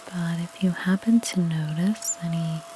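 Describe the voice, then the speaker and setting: A woman speaking in a soft, breathy, whispery ASMR roleplay style, gently explaining as a house cleaner with unhurried pauses. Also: lowish voice, a little hiss or hum. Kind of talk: softly spoken ASMR roleplay.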